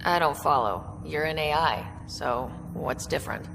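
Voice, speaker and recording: Young female game explorer: fry-edged, wry, inquisitive, casual, some reverb around her. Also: low voice, measured pace, background noise. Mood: wary, curious. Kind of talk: video game voice acting.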